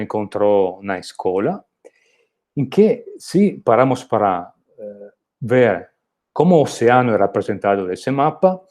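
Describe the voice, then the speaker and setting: Italian man lecturing, mellow voice. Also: mid-range voice. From Italy. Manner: explanatory, with hesitations and restarts. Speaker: university lecturer. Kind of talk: recorded online lecture.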